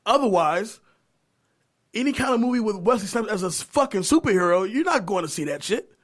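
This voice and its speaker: A man co-hosting a podcast, chatting casually in slangy, animated talk and repeating phrases for emphasis. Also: high voice, a little fast. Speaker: podcast co-host. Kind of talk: casual pop-culture chat.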